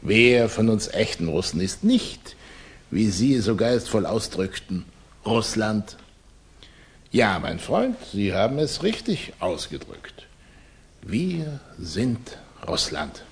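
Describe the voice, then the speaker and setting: A scheming émigré revolutionary in a 1960s German radio drama. His low, husky male voice swoops widely through florid compliments. He is theatrical, oily and condescending in his politeness. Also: big pitch swings, slow pace, full character voice. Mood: suave, probing. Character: effusive, cunning Russian émigré revolutionary, condescending and flattering.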